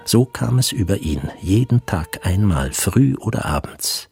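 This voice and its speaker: A man narrating a literary radio drama from a script in formal, measured storytelling sentences. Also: deep voice, lively intonation, vocal fry, darkish tone. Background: music.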